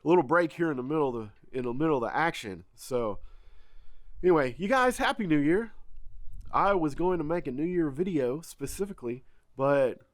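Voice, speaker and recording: A man's voice: on the high side, animated, brisk, no echo. A man, congested, chatting casually to viewers in a homestead vlog. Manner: folksy, chatty, addresses viewers as y'all. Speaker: farm vlogger. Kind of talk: casual vlog talk to camera.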